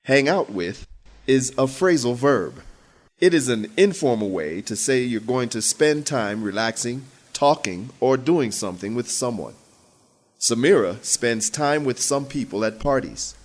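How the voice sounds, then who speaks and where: A man hosting and narrating a scripted language lesson, explaining things in a clear, measured teacher's manner. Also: medium pitch, animated. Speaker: host and English teacher.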